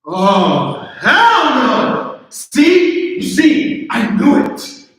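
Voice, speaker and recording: A man's voice: very high voice, huge pitch swings, very slow, a bit bright, heavily acted. A man playing a determined, hot-headed, suspicious guy in a live script table read. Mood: outraged triumph. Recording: very echoey room, distant mic.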